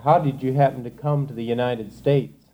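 A man's voice: mid-range voice, steady tone, normal speed, highs cut off. A man conducting an oral history interview, asking brief, plain questions.

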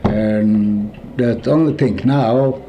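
Gravelly old man with Yiddish accent. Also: on the low side, highs cut off, noisy background. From Poland. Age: 70s. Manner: halting, searching for words, slipping into Yiddish. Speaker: interviewee. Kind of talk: oral history recollection.

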